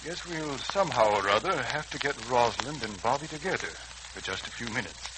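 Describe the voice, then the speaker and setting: Man acting a calm, wry senior doctor, lively intonation. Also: medium pitch, close to an everyday voice. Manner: measured, avuncular. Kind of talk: 1940s radio serial acting. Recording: old radio recording, clear hiss.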